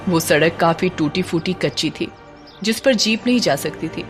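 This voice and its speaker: A woman narrating a scripted horror story as an expressive audio series storyteller. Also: on the low side.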